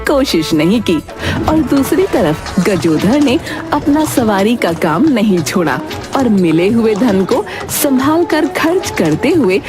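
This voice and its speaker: A woman's voice: on the high side, sing-song, slow pace, over music. A woman narrator reading a scripted moral tale in a storytelling style.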